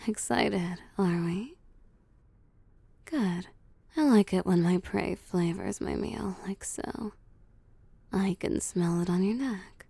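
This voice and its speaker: A woman voicing a seductive, possessive vampire boss in an intimate audio roleplay, cooing and teasing softly and often slipping into whispers. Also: medium pitch, vocal fry, slightly rough, no room sound, full character voice. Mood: playful menace, then hungry, seductive. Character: seductive, possessive female vampire CEO pampering her prey. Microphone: close, dry microphone.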